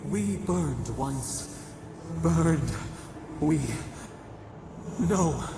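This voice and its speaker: An actor voicing an ancient, grieving spirit in a video game, speaking as we in cryptic, halting, solemn phrases with a gravelly voice. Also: mid-range voice, lively intonation, background noise, full character voice. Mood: ominous, warning. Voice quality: gravelly, creaking. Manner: slow, cryptic, incantatory, halting short phrases. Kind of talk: solemn dramatic game voice acting. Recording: heavily reverberant echoing voice, music behind.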